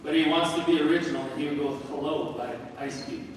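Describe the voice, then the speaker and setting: A man in his forties speaks as a head coach, loose and joking, with plenty of "uh" between thoughts. His fairly high voice carries through a school gym with a long echo, picked up from well across the room. A steady crowd murmur sits behind him. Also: fast, slight background noise. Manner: joking, informal, many "uh" fillers. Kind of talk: senior night speech to an audience. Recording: echoey gym from far off with crowd.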